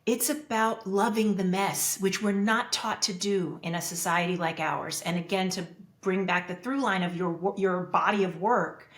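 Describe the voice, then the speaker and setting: A woman's voice: medium pitch, fairly even intonation, normal speed, hardly any pauses, fairly bright tone, roomy sound. A woman hosting a podcast interview, speaking in an earnest, reflective way and thinking aloud with restarts. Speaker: podcast host. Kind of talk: interview conversation.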